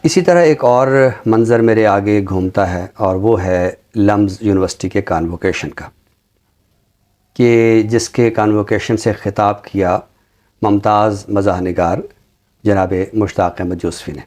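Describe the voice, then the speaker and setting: A man speaking as an academic guest in a discussion, formal and deliberate, pausing between phrases. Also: on the low side, a little mellow. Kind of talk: measured studio discussion.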